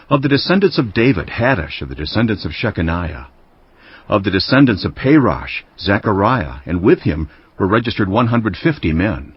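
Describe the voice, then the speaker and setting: A man narrating scripture as a dramatized first-person account, solemn and declamatory, with deliberate phrasing. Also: lowish voice, breathy edge. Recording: dull lo-fi audio with music bed. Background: background music.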